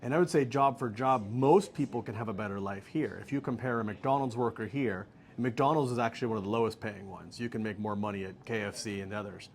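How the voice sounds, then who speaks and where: American man, middle-aged, low-pitched, joking, relaxed interview guest, faint background hiss. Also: brisk, hardly any pauses. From United States. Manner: relaxed, joking, laughs easily. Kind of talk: casual interview answers.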